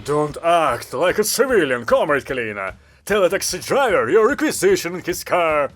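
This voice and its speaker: A man's voice: on the high side, sing-song, moderate pace, fairly bright tone, faint music behind. A man voicing a curt weary commander. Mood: commanding. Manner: curt orders, dry sarcasm. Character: gruff weary male commander.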